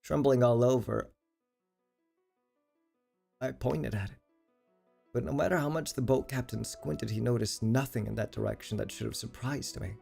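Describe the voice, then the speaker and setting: A woman streaming a visual novel, reading it aloud and reacting with blunt, sweary, expressive commentary. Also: a bass voice, moderate pace, gravelly, pauses often, husky. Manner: expressive, sweary, exasperated outbursts. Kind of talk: live game read-aloud with blunt commentary. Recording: music behind the voice.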